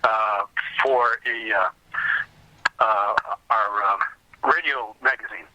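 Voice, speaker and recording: An American man talking casually as an interview guest, halting and rambling as he repeats words, over what sounds like a call. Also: lowish voice, steady tone, sharp tone, no echo, dull audio. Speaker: podcast guest, writer and interviewer. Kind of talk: casual conversational interview talk.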